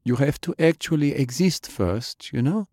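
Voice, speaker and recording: A man's voice: mid-range voice, lively intonation, moderate pace, darkish tone, no echo, clean studio sound, voice pushed up. A man voicing an earnest young country girl who talks in a plain, folksy way. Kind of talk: audiobook character voice.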